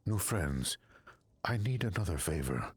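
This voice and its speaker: An actor playing an earnest, devoted alien in an animated video game. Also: male voice, low voice, a little slow, noisy background, exaggerated voice. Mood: grave. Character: deep, husky alien, earnest, devoted to missing partner.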